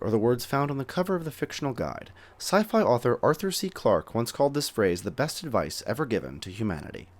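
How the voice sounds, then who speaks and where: A man narrating scripted explainer segments for a geek culture show. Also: medium pitch.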